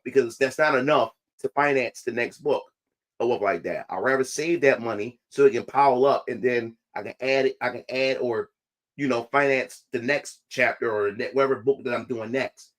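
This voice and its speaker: A man's voice: mid-range voice, normal speed. A man talking casually to his viewers, repeating himself and restarting phrases, his voice not quite recovered. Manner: repetitive, restarts phrases often. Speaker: independent comic creator. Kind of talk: casual livestream talk to viewers.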